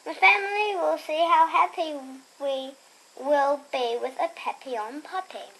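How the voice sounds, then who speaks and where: A young girl reading a picture book aloud expressively, with chatty, quieter asides to her listeners. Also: sits high, measured pace, rough recording. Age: child. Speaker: storybook reader and host.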